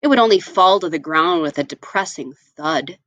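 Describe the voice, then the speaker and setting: A woman tells a children's story in an expressive read-aloud style. Her pitch moves freely and animatedly as she shapes each phrase with care. The sound is dry, with no room echo. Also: highs cut off. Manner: expressive, with deliberate phrasing and asides to listeners.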